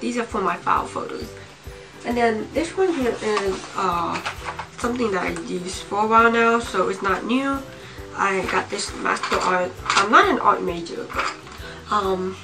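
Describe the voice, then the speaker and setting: A young woman's voice comes from a little way off the microphone, with music clearly playing behind it. She has a slight cold and talks in a casual show-and-tell way, at a middling pitch. She often halts and thinks aloud as she goes. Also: a little slow. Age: young adult, about 18. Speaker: vlogger. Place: small home room, indoors. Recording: distant mic, music behind.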